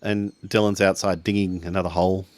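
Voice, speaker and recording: A man's voice: sits low, level tone, a little fast, no room sound. A man talking casually and improvising in character as a player in a tabletop roleplaying game.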